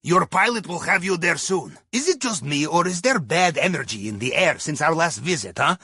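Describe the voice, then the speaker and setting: An actor voicing a wry, jovial Russian submarine captain who guides heists in a video game, with a Russian accent and a husky voice. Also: male voice, a little high, lively intonation, normal speed, bright, forceful tone, no echo, clean studio sound, exaggerated voice. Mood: amused, teasing. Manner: wry, playful, conspiratorial asides. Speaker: mission guide character in an action video game. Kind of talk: scripted video game mission voice lines.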